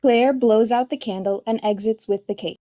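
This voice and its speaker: A woman's voice: on the high side, moderate pace, warm tone. A woman giving scripted narration, reading the stage directions of a play.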